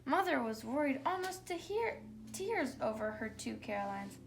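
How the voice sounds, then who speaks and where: A woman's voice: high-pitched, sing-song, moderate pace, creaky. A woman hosting a story time, reading a bedtime story aloud for children. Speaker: children's story reader and host. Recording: faint background noise.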